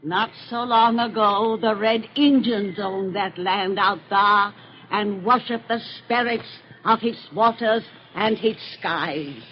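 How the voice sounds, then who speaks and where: A woman's voice: mid-range voice, steady tone, slow, natural voice. A woman playing a gleefully sinister, ancient witch with a cracked, cackling voice, telling folksy horror tales in old radio style. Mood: eerie storytelling. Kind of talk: 1930s radio horror acting. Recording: muffled, noisy old radio recording.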